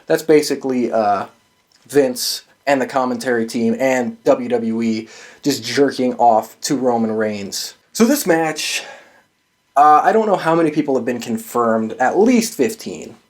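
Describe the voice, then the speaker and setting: A man's voice: mid-range voice, unhurried. A man hosting a show, giving casual, opinionated sports commentary in an emphatic, sweary way. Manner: profane and emphatic. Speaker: host of a wrestling prediction show.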